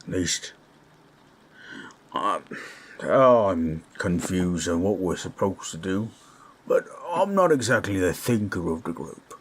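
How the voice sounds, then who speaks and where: A man voicing a kindly, bashful orc companion in a roleplay audio drama, talking in a halting, folksy, gently awkward way. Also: low-pitched, expressive, a little hiss or hum. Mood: soft, reassuring. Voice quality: husky.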